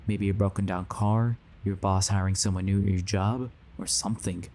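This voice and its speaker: A man's voice: deep voice, steady tone, normal speed, warm tone, a little hiss or hum, lightly acted. A man playing a grave, earnest believer warning others of a sinister conspiracy in an audio drama. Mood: stern warning. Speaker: voice actor.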